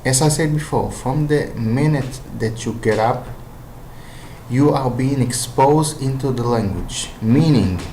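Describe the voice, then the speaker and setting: A man's voice: medium pitch, warm tone, slight background noise. A man with an Israeli accent and Hebrew as his first language, giving language-learning advice in a hesitant, casual monologue full of fillers and restarts. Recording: roomy echo.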